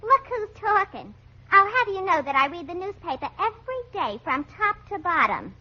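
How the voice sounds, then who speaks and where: Brash young New woman, extremely high animated voice, sassy and slangy, vintage radio comedy. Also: moderate pace. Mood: indignant. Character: brash, dim, mouthy young daughter of tavern owner. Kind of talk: 1940s radio comedy acting. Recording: dull vintage radio recording.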